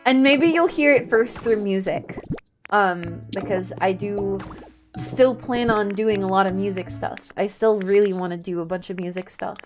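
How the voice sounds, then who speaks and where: A woman's voice: mid-range voice, moderate pace, music in the background. A woman livestreamer chatting casually while she plays a video game, rambling and self-deprecating. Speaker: streamer, VTuber.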